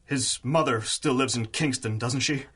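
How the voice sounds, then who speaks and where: Husky, grave radio-drama doctor. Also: male voice, mid-range voice, a bit bright. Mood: grave, concerned. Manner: grave, measured, formal. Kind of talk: 1930s radio acting.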